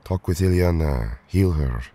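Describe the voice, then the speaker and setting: Man as very deep, solemn protective hero. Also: animated, unhurried, warm tone, exaggerated voice. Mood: solemn, sorrowful. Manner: formal, uncontracted speech. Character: earnest, solemn foreign strongman hero, protective older brother. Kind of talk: audio drama acting.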